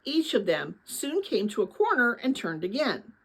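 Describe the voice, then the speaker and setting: A woman with a fairly high, husky voice reads a children's story aloud. Her delivery is dramatic and very animated, shaped to engage young listeners. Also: rough recording. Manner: expressive, pauses to address young listeners with questions. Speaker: read-aloud narrator of a children's novel.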